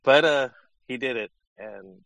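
A man's voice: mid-range voice, measured pace, vocal fry, a bit bright, no room sound. A man with a slight Californian accent telling stories on a sports podcast, hesitant and full of fillers. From Los Angeles. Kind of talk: casual storytelling chat.

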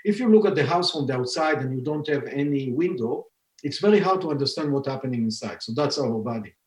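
A man's voice: mid-range voice, lively intonation, fast talker, darkish tone. A man in his forties with an Israeli accent, a scientist and founder explaining his work in a podcast interview, with many fillers and restarts. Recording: roomy echo.

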